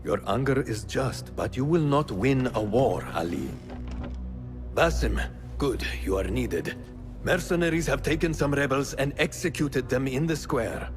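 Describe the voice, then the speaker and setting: A man's voice: mid-range voice, lively intonation, a little slow, close to an everyday voice. An actor playing a cautious, authoritative male spymaster in a video game, giving grave advice with music behind him. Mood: grave, cautioning. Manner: grave, advising. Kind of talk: dramatic video game acting.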